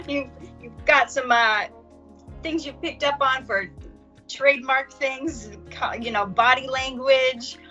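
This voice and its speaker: A woman's voice: a little high, pushed and bright. A woman hosting a casual interview, asking chatty, friendly questions. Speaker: host interviewer. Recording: dull lo-fi sound with faint music.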